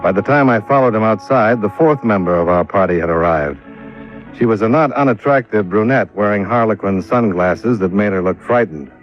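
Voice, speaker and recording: A low-pitched American private eye played by a male actor, wry and steady. He delivers his lines dryly and matter-of-factly, like 1950s radio drama. The old recording is muffled, with music behind it. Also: normal speed, lightly acted. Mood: brooding narration. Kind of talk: 1950s radio drama acting and first-person narration.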